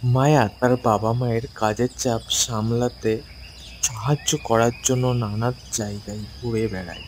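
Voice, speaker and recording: A man's voice: medium pitch, steady tone, unhurried, darkish tone, slight background noise. A man narrating a scripted ghost story for children as a storyteller.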